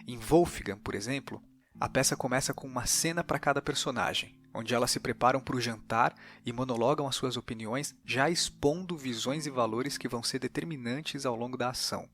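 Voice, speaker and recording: A man with a Brazilian Portuguese accent hosting a podcast, reading scripted analytical narration in a measured, explanatory way. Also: medium pitch, fairly bright tone. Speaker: podcast host. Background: faint guitar music.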